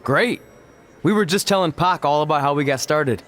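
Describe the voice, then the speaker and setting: A man's voice: fairly high pitch, normal speed, a bit bright, natural voice. An actor playing a goofy, talkative male wrestler buddy in a video game cutscene. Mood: eager, put-upon. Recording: faint background noise.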